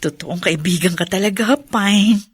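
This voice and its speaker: A Filipino woman acting a weary, fretful young mother in a radio drama, expressive and easily exasperated. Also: medium pitch, moderate pace, slightly rough, close to an everyday voice. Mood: agreeable. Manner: expressive, exasperated when pressed.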